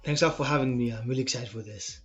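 A man's voice: medium pitch, racing, highs cut off, soft background music. Israeli man, earnest and explanatory. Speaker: personal trainer guest. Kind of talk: podcast interview answers.

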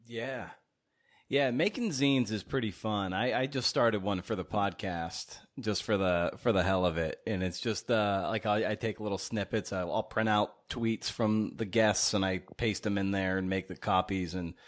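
A man's voice: lowish voice, moderate pace. A man speaking casually and conversationally as a podcast host interviewing a guest.

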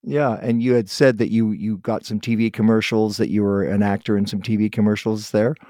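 A man's voice: fairly low pitch, steady tone, fairly quick, dark tone, no room sound, studio quality. A man hosting an interview podcast in a friendly, chatty way. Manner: friendly, chatty, many restarts and fillers. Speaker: podcast host. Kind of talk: casual interview chat.

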